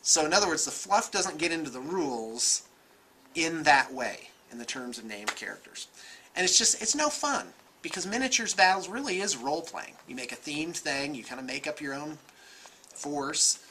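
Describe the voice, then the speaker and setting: An American man in his forties giving casual, off-the-cuff opinions in an animated, conversational way. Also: a little high, normal speed, a little hiss or hum, rough recording. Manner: animated, gesturing, conversational, self-qualifying. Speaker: vlogger, miniatures shop owner. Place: indoor room with bookshelves.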